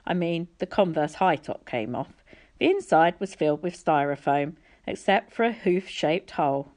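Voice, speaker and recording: A woman's voice: sits low, sing-song, normal speed, darkish tone. A woman reading a storybook aloud as an audiobook narrator. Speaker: audiobook reader.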